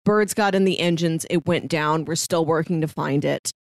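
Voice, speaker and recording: A woman's voice: low voice, normal speed, no echo. A woman co-hosting a podcast, giving a casual, conversational review and recap. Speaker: podcast co-host.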